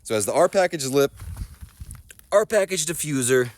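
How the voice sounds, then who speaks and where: A man's voice: fairly high pitch, expressive, moderate pace, no room sound. Congested man rambling on a car walkaround. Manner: loose, rambling, self-deprecating chatter. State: congested with a head cold. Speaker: car vlogger. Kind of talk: casual car walkaround vlog. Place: outdoors in a gravel driveway and inside a small car. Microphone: phone camera selfie view.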